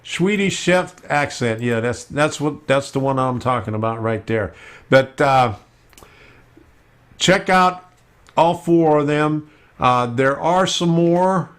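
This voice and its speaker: A man hosting a casual live stream about model railroading, talking slowly with many fillers as he works at his computer. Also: a little high, lively intonation, clear, resonant tone. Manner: slow, unhurried, with many fillers while working on screen.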